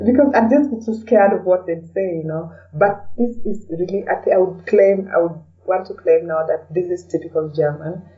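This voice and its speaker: A woman's voice: fairly low pitch, moderate pace, few pauses. A woman talking casually as an interview guest, chatty and hesitant, often adding "you know.". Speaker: podcast guest, blogger. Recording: dull, distant, faint background noise.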